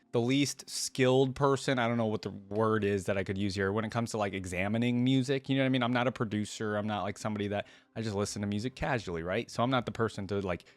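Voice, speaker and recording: A man's voice: on the low side, no room sound. A man giving casual reaction commentary, talking informally and hedging as he thinks aloud. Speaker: video creator reacting to music.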